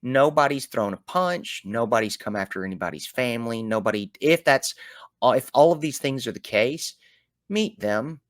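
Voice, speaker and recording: A man with a Southern US accent talking through his thoughts in a reflective solo show, animated, with folksy turns of phrase and sudden loud bursts. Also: medium pitch, normal speed, no echo, studio quality. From American South. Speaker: podcast host.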